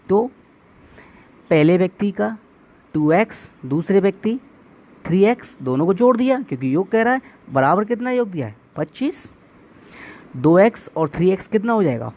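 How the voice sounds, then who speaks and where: A man's voice: sits high, a little mellow. A male maths teacher giving an exam-prep lesson, explaining step by step, asking questions and answering them himself, and calling viewers friends.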